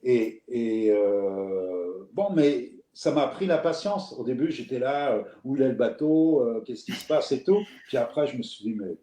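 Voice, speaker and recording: A man's voice: mid-range voice, animated, normal speed, few pauses, warm tone. Casual parisian guy chatting live, lots of ums, roomy sound. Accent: Parisian French. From France. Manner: rambling, reflective, many fillers and restarts. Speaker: host. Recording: roomy echo.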